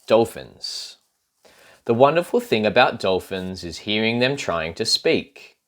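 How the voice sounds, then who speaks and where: Man, children's storybook read-aloud, low voice, slow pace, playful, expressive. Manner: playful, addressing young listeners with asides. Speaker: storybook reader.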